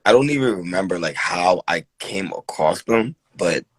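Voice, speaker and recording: A man in his early thirties chatting casually as a co-host, excitable and full of slangy exclamations. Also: low-pitched, moderate pace, husky, dry recording. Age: early 30s. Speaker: podcast co-host. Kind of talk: casual chat.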